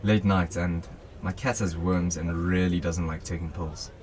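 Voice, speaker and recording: A man's voice: low-pitched, fairly even intonation, a little slow, a little mellow. A young man playing a guarded, dry civilian helping police in a radio drama. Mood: casual. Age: young adult.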